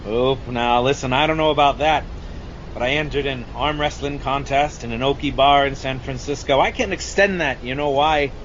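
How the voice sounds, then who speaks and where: Man acting a gruff old sea-dog, muffled noisy recording. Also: mid-range voice, steady tone, moderate pace, close to an everyday voice. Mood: boastful, boozy. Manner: rambling salty storyteller, addressing listener as Chief. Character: gruff boastful old sea-dog shark hunter, haunted war survivor. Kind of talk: amateur audio drama film reenactment. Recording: dull lo-fi audio with loud background noise.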